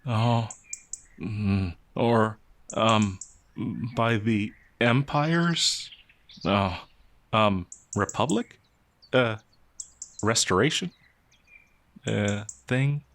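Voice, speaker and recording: Man voicing a slow, halting, gentle old werewolf. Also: fairly low pitch, lively intonation, dry recording, full character voice. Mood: confused, anxious. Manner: halting, hesitant, bewildered stammering. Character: gentle, confused, ancient male werewolf, naive and polite. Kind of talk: ASMR roleplay audio drama.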